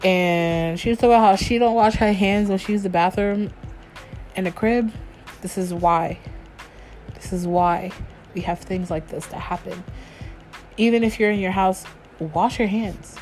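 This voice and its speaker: A woman's voice: medium pitch, a little slow, long pauses, darkish tone, faint music behind, poor audio. A woman hosting her own show, talking loosely and casually on her own, with slang and frequent restarts. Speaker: podcast host. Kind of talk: casual solo chat.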